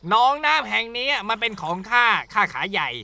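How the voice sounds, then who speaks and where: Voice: mid-range voice, animated, a little fast, creaky, sharp tone, full character voice. A voice actor playing a boastful, bossy male frog who claims to own the pond. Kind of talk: cartoon fable voice acting.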